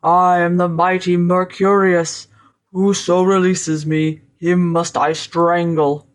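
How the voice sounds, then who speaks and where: Storybook role: terrible giant male spirit, voiced by a woman, menacing, imperious, archaic and very slow. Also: lowish voice, flat delivery. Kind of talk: storybook character voice.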